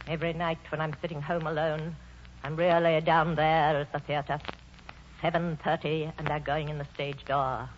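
A woman's voice: low-pitched, flat delivery, normal speed, close to an everyday voice. An actress plays a proud old matriarch of the theater, fiery and commanding. She declaims grandly, in the manner of 1940s radio drama. The old recording is dull, with faint noise underneath. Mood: yearning, rapt. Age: older.

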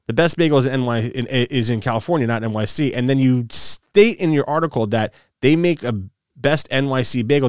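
A man's voice: medium pitch, no room sound, clean studio sound. A man, ranting in a casual podcast chat, stumbling and restarting often. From New York City. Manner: ranting, many restarts and repeats. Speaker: podcast host.

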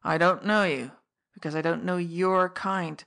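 A woman voicing a scheming older male diamond fence who snarls, speaking hoarsely and loudly. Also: lowish voice, steady tone, measured pace. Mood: snarling. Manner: fierce, then wheedling.

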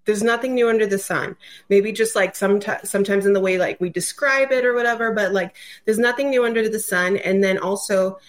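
A woman's voice: mid-range voice, fairly quick. A woman with a mid-range voice talks as a guest on a podcast. She explains things conversationally and loosely, sprinkling in casual filler phrases. The delivery is informal and unscripted. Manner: informal, loose, peppered with "like" and "you know".

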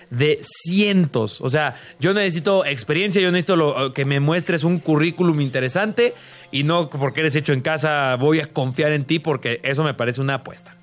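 A man with a Mexican accent co-hosting a casual sports debate, speaking emphatically and repeating himself. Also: on the high side. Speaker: sports show co-host.

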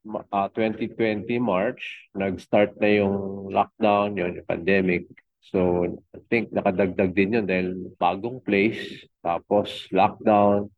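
Middle-aged Filipino male interview guest, lower register, casual halting storytelling, dry but phone-like narrow audio. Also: flat delivery, no room sound. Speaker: podcast guest, fitness coach. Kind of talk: casual conversational storytelling in Taglish. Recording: tinny phone-like connection.

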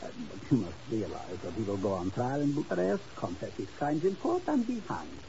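A preening, money-loving baron in an old radio drama. His gravelly voice swoops extravagantly as he gushes oily endearments. The hissy, muffled recording dates it. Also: medium pitch, very animated, brisk, warm tone. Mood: breezy, self-important. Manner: effusive, theatrical, oily endearments. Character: flamboyant, greedy, self-adoring baron in Istanbul. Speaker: supporting actor in a radio drama. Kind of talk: 1950s radio acting.

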